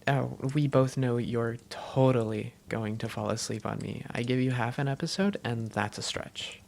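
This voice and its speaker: A woman playing an affectionate, self-doubting vampire girlfriend in a cozy roleplay audio, speaking in an intimate, teasing and sometimes halting way. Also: extremely low pitch, gravelly, a little mellow, a little hiss or hum. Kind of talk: cozy roleplay audio, one-sided conversation.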